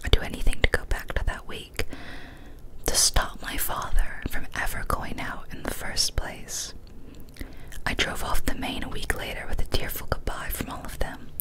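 A young woman reads a story aloud in a soft breathy ASMR whisper, hushed and deliberate, close to a studio microphone. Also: poor audio. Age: young adult. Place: small decorated room indoors. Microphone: large studio microphone up close.

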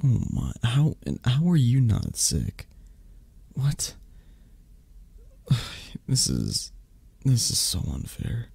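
Sick, sulky mafia boss played by a man for ASMR romance: raspy, swooping intonation, close dry sound, plaintive and possessive. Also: medium pitch, huge pitch swings, no room sound, full character voice. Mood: sulky grumbling. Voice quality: soft, breathy, raspy. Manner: halting, sighing, plaintive. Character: sick, grumbling, needy male mafia boss, possessive lover. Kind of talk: ASMR romance roleplay acting.

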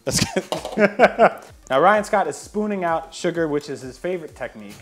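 A man in his thirties teases and mocks his co-host with a lively, swooping delivery, a little high for a man. He swears freely, sarcastic through and through. He is heard through a camera mic in a home kitchen, with faint music. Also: moderate pace. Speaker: host teaching baking. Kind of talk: joking cooking show banter.

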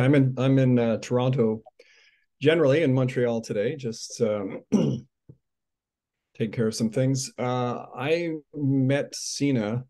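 A man introducing himself on a video call, a charity supporter who speaks hesitantly with frequent pauses and restarts. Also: mid-range voice, mellow. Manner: hesitant, many fillers and restarts. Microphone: webcam on video call.

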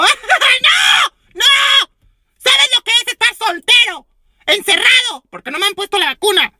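A woman voicing a desperate single woman who rants in a shrill voice. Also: bright, forceful tone, no echo, clean studio sound, exaggerated voice. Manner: shrill, ranting.

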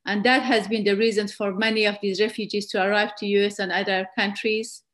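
A woman's voice: medium pitch, level tone, a bit bright. Earnest woman from Afghanistan presenting. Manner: earnest, measured, with frequent restarts. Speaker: guest presenter. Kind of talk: slide presentation lecture. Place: indoor room at home. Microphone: webcam on a video call.

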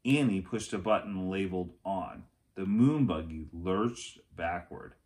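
A man, reading a children's book aloud as expressive narration. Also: low voice, unhurried. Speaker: read-aloud storyteller. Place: small furnished room at home, indoors.

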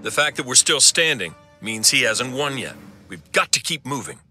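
An actor playing a bold, defiant superhero in a video game, resolute and heroic in delivery. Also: male voice, mid-range voice, big pitch swings, normal speed, bright, forceful tone, faint music behind, full character voice. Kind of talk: video game character acting.

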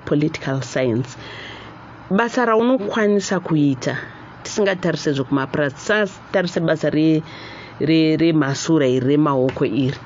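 A woman's voice: deep voice, a little mellow. A middle-aged woman with a Zimbabwean accent hosting a live call-in radio show, switching between Shona and English as she talks and reads out messages. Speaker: radio talk show host. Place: small radio studio. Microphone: studio microphone on boom arm. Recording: muffled studio audio with faint noise.

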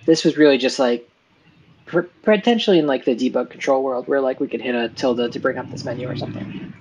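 A man's voice: medium pitch, fast, breathy edge, rough recording. Laid-back man explaining on a call. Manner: relaxed, explaining, many fillers. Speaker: co-host of a game dev stream. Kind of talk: casual technical chat.

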